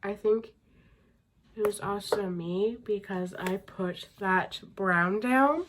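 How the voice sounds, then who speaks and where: A young woman chatting casually through a makeup tutorial, murmuring and trailing off as she works, with a slightly raspy voice from getting over a sickness. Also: mid-range voice, slow, a little hiss or hum. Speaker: beauty vlogger.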